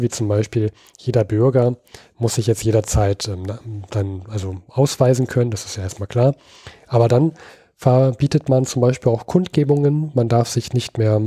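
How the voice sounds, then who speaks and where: Podcast co-host from Berlin: relaxed, warm voice, hesitant and talky, recorded dry and close. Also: male voice, mid-range voice, dry recording. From Berlin, Germany. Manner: explains at length, hesitant with many fillers. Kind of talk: casual history podcast chat.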